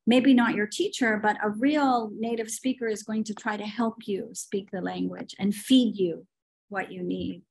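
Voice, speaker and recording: A woman's voice: mid-range voice, steady tone, normal speed, creaky, a little mellow, slightly rough, rough recording. A middle-aged woman talking about language teaching in a podcast conversation, opinionated and telling stories, with frequent fillers.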